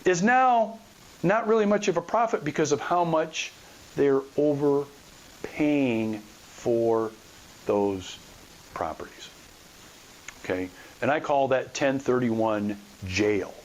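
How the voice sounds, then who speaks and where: A man's voice: medium pitch, slow pace, poor audio. A man hosting a podcast gives informal, blunt investor advice, repeating phrases for emphasis and asking rhetorical questions. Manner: blunt, colloquial. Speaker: podcast host and real estate investor. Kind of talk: informal advice monologue to listeners.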